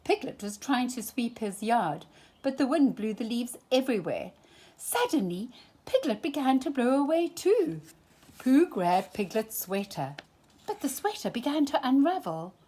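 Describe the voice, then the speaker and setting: A woman reading a children's storybook aloud at a slow, gentle pace. Her voice is husky and breathy, and her pitch rises and falls a lot as she tells the story. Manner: expressive, animated read-aloud. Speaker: storytime reader.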